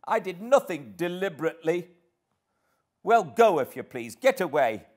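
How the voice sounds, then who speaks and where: A man voicing a fiery, spoiled young woman, shrill, imperious and petulant, in an audiobook. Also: very animated, moderate pace. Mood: furious, shrill. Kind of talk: audiobook character acting.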